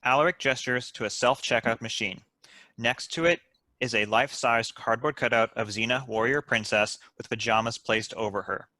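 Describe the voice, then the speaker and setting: A man's voice: mid-range voice, moderate pace, sharp tone, no room sound. A man reading out stage directions as the narrator of a scripted table read.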